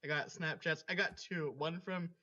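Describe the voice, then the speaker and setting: A man's voice: on the high side, fairly even intonation, normal speed, very noisy. A man reading fan fiction aloud and breaking off into casual, chatty asides. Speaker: story reader. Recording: dull lo-fi recording.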